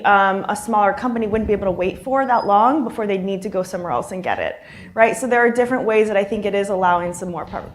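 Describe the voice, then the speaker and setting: A woman speaking on a conference panel, explaining her points with frequent fillers. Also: medium pitch. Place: conference hall.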